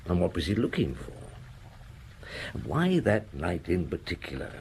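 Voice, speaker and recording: An actor playing a shrewd middle-aged police detective in an audio drama, musing methodically with dry humour. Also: male voice, low-pitched, wildly swooping pitch, moderate pace, darkish tone, a little hiss or hum, heavily acted. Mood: musing, probing. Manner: musing, methodical, dryly humorous.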